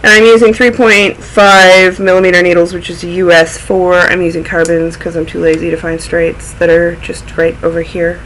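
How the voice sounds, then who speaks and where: Snuffly, bright-voiced man rambling casually. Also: sits high, level tone, moderate pace, few pauses, a little hiss or hum, poor audio. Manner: rambling, goes off on tangents. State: snuffly from a cold. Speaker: knitting video podcast host. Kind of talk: casual chatty show-and-tell.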